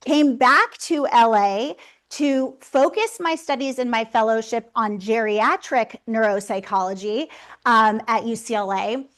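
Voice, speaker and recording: A woman in her forties from Los Angeles, giving a seminar talk as a psychologist in a loud, chatty and friendly way. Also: fairly high pitch, measured pace. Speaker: psychologist presenter. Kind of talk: seminar presentation. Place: seminar room.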